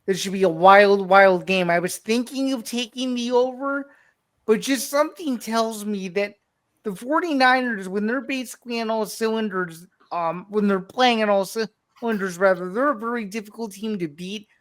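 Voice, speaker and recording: A woman's voice: mid-range voice, moderate pace. A woman talking casually through her game prediction as a sports panelist.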